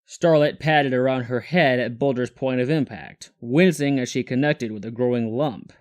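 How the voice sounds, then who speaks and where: A man's voice: mid-range voice, moderate pace. A man reading scripted story narration as the narrator.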